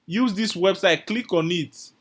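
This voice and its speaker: A man's voice: sits high, a little slow. A man teaching a live online coding class, repeating his instructions and calling out viewers' names from the chat. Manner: repetitive, coaxing, calls out viewer names.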